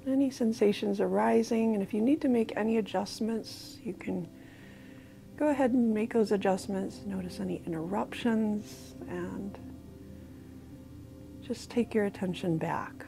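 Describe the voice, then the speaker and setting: A woman with an American accent, slowly guiding a body scan meditation in a soft, calm voice. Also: medium pitch, gravelly, over music. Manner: slow, soft-spoken, calm guidance with pauses. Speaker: mindfulness guide.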